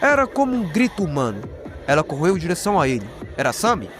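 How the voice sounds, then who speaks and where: High-pitched animated Brazilian male storyteller. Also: quick pace. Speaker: narrator of a horror recap reaction video. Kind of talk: dramatic storytelling with jokey slang asides. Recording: voice over prominent background music. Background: music.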